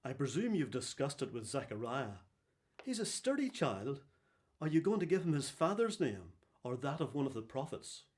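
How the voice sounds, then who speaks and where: An actor playing a fussy, hesitant synagogue official with a questioning, easily flustered manner in a radio play. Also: male voice, medium pitch, big pitch swings, moderate pace, close to an everyday voice. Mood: genial, inquiring.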